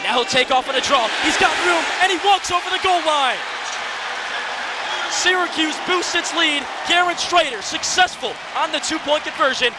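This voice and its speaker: A man in his early twenties calling college football live on radio in short, vivid, present-tense phrases. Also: extremely high pitch. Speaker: play-by-play announcer. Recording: stadium crowd and loud background noise.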